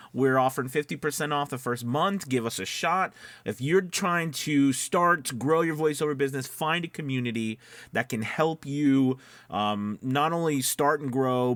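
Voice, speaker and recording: Man, mid-range pitch, conversational coach, casual explanatory style, frequent check-ins, dry recording. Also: fairly bright tone, no echo. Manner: conversational, explanatory, often checking in with "okay" and "you know". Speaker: voice-over coach and video host. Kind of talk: casual instructional vlog talk.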